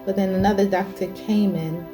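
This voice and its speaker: A woman's voice: medium pitch, steady tone, moderate pace. A woman in her forties telling her personal testimony in a hesitant way as host. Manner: hesitant, many fillers and restarts. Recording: music bed behind.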